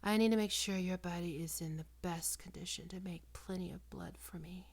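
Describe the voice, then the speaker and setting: A woman playing a possessive, predatory female vampire in an ASMR roleplay, coaxing and cooing in a breathy voice that often drops into whispers. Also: lowish voice, fairly even intonation, darkish tone. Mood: admiring, possessive. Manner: slow, cooing, condescending endearments.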